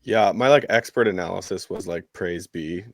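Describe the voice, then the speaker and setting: A man chatting casually about soccer as a podcast panelist, in a halting, wry way. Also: sits low, no echo, clean studio sound.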